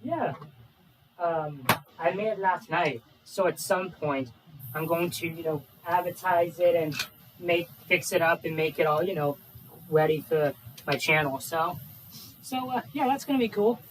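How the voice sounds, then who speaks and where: A man's voice: fairly high pitch, unhurried, distant mic, slight background noise, low-quality recording. A man chatting casually on a stream, mostly giving brief, encouraging replies. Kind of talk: casual chat on a live stream.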